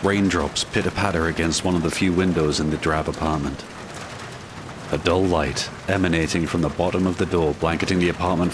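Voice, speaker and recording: Deep-voiced male narrator, noisy backdrop. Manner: measured, descriptive storyteller. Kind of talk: scripted gothic drama narration.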